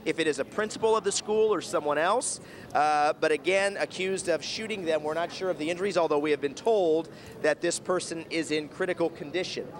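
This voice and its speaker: American male field reporter, slightly high voice. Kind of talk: live breaking news reporting.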